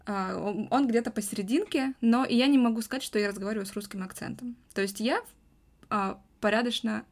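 A woman hosting a podcast and telling stories from her teaching work in a chatty, unhurried way. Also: fairly high pitch. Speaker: podcast host and English teacher. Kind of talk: casual podcast conversation.

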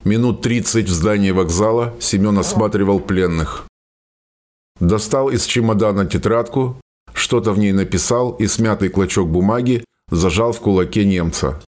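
A man's voice: fairly low pitch, moderate pace, a little mellow. A man reading scripted narration of a war story as the narrator of a radio play.

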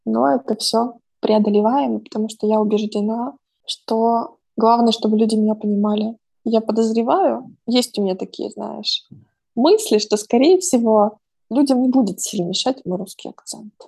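Gravelly-voiced reflective woman. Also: fairly high pitch, normal speed, mellow. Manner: reflective, thinking aloud with many fillers. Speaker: podcast host, psychologist. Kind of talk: casual conversational chat. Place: home.

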